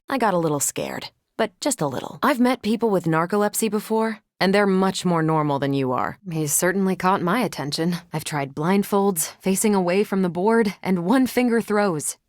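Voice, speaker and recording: A woman's voice: lowish voice, moderate pace, hardly any pauses, no echo, lightly acted. A woman voicing a confident, wry superheroine soldier in a video game, with a dry, teasing, self-assured delivery. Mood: wry, casual.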